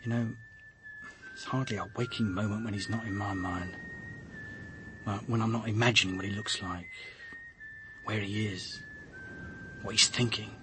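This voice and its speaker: A man's voice: fairly low pitch, fairly even intonation, moderate pace, long pauses, background noise, natural voice. A man playing a weary, haunted police detective in a radio drama, brooding and grimly emphatic. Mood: brooding, intense.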